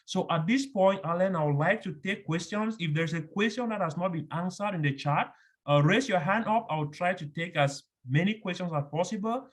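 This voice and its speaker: A man's voice: high-pitched, moderate pace. Man hosting an online orientation. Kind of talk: moderating a video call.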